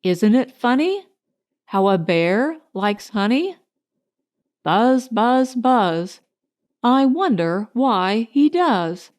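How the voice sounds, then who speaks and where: A woman's voice: on the high side, very animated, drawn-out words, a little mellow, full character voice. A woman voicing a simple, honey-loving male bear in a storybook, musing earnestly and ponderously. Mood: singsong, wistful.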